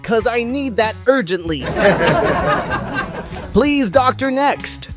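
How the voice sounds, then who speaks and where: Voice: medium pitch, lively intonation, takes it slowly, loud music behind the voice, over a noisy crowd. A performer voicing a sarcastic, melodramatic and self-mocking puppet host in a comedy show. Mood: sarcastic amusement.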